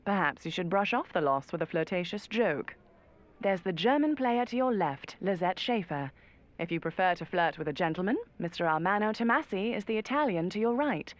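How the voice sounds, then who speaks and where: An actress playing a poised, dryly amused spy handler who guides an agent through an interactive audio game. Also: female voice, on the low side, lively intonation, fairly quick, lightly acted. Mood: wry. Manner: cool, composed, wry. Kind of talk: scripted interactive game narration.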